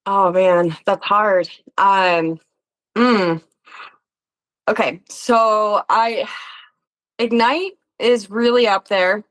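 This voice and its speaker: A woman with a mid-pitched voice talks as a guest in a relaxed podcast interview. Her delivery is informal and conversational, working out her thoughts aloud. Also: drawn-out words, pauses often, poor audio. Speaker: podcast guest, game player, actor and musician.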